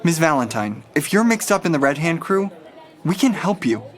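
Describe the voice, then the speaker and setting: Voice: medium pitch, very animated, normal speed, distant mic, some chatter behind, lightly acted. A performer playing an eager, earnest young male rookie police officer, easily flustered. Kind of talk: dramatic audio-drama acting.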